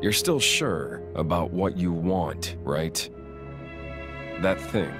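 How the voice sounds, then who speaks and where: An actor playing a tough, blunt but loyal comrade in a video game cutscene. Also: male voice, low voice, animated, takes it slowly. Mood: gruff concern. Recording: loud atmospheric music behind.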